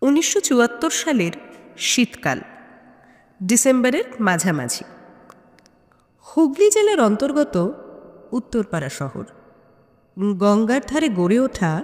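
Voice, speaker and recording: A woman giving a measured, suspenseful dramatic reading of a detective story. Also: mid-range voice. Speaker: story narrator. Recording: long hall-like reverb.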